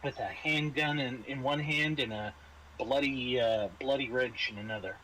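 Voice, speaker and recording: A man narrates a tabletop roleplaying game as game master. He talks casually and a little haltingly, with dry understated humour, over a home mic with faint background noise. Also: mid-range voice, bright, forceful tone, poor audio.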